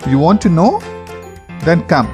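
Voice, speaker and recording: Male storybook host for kids, lively swooping delivery, theatrical phrasing, soft music underneath. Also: a little high, measured pace. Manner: animated, theatrical storytelling with rhetorical questions. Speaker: host of a children's read-aloud channel. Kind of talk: expressive storybook read-aloud for children.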